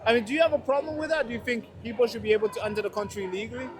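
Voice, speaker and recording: High-voiced man interviewing on the street. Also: fairly even intonation, racing, a crowd in the background, background noise. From Tanzania. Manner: friendly, questioning, with fillers and restarts.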